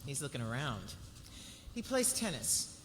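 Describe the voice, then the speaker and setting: An actress playing a poised, commanding senior law-firm boss with dry amusement, in a live table read of a TV drama. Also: female voice, rumbling low, very animated, unhurried, heavily acted. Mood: assured, pleased. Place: large hall, live festival stage. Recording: echoey hall with background noise.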